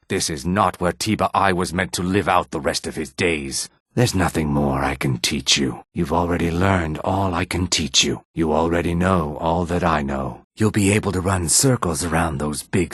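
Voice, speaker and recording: An actor playing a weary, wary lizard-man laborer and fighter in a fantasy video game, speaking in a measured, guarded and plain-spoken way with a raspy throat. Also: deep voice, fairly bright tone, no room sound, clean studio sound. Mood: weary, resigned.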